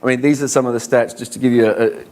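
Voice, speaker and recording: A man's voice: medium pitch, fairly even intonation, fast, a little mellow. Australian man giving a conference talk. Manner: conversational, many fillers, rhetorical questions. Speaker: conference speaker.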